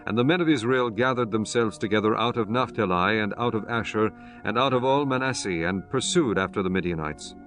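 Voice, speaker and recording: A man giving a measured, solemn scripted narration of scripture. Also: on the low side, fairly bright tone, soft background music.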